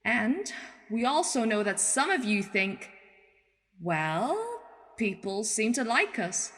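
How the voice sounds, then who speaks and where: An actress playing a tough, plain-spoken ex-military leader in a radio play, making a frank and earnest speech. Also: female voice, a little high, lively intonation, slow, a bit bright. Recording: long hall reverb.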